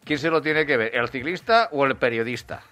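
A man hosting a casual cycling podcast, reasoning aloud with many halting restarts. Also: mid-range voice, sharp tone, soft background music. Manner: hesitant, full of restarts and fillers while building an argument. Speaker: podcast host. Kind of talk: casual chat about cycling news.

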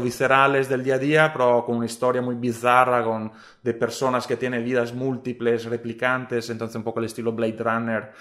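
A man's voice: medium pitch, fairly bright tone. A man from Italy speaking Spanish with an Italian accent, answering interview questions hesitantly with many fillers and restarts. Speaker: guest, social media scientist.

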